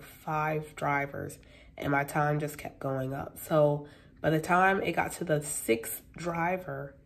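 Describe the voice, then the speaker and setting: A woman in her late twenties, talking low with a gravelly edge and a congested, stuffy nose. She rambles casually to her phone camera from a hotel room, full of ums and likes. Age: late 20s. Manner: relaxed, rambling, lots of fillers. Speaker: vlogger. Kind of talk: casual vlog chat to camera.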